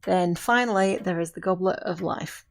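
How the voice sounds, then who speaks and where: A woman's voice: lowish voice, lightly acted. A woman playing an earnest, grieving but insistent witch who mentors a novice in an audio drama, speaking formally and instructively. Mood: solemn, explaining. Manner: measured, instructive, formal phrasing. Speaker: voice actor in an amateur audio drama.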